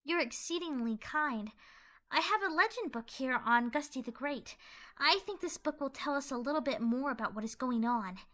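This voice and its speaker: A courteous, studious girl pony leader, as a woman voices her in a fan audio play. She sounds earnest and explanatory, with a high, lively pitch and a bright edge. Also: normal speed. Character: polite, earnest, bookish female pony leader.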